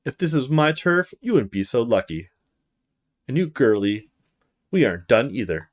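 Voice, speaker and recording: A man's voice: mid-range voice, sing-song, moderate pace. Man voicing a taunting young street thug. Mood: cocky threatening. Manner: taunting, menacing. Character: aggressive young male street thug, cocky and threatening. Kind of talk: visual novel character read-aloud.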